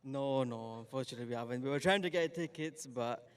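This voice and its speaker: A man's voice: medium pitch, fast talker, smooth. A man answers questions about the match in a middling voice. He speaks casually, with hesitant fillers and a slightly unsure tone. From Blackburn, northwest England. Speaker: football fan.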